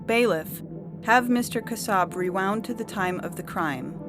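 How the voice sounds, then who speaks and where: A woman's voice: medium pitch, over music, natural voice. Woman playing an implacable, stern judge. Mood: cold, commanding. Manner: stern, measured, certain. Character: implacable, imperious female judge of absolute conviction. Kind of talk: dramatic story reading.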